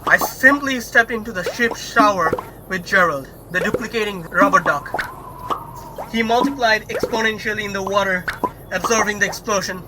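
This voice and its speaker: A man's voice: high voice, normal speed, natural voice. A man playing a vain, self-assured starship captain with grand, dramatic manner in a sci-fi comedy audio drama. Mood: triumphant, boastful. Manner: pompous, grandiose. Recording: noisy hobbyist home recording.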